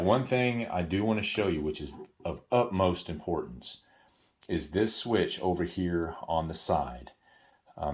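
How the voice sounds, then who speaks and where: A man giving a casual, hands-on product tutorial, explaining things informally and repeating key points for emphasis. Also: deep voice.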